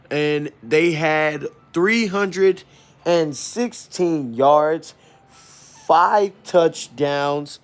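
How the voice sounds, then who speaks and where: A man hosting an informal sports game preview, speaking haltingly and often correcting himself. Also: fairly high pitch. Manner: halting, stumbling delivery with self-corrections.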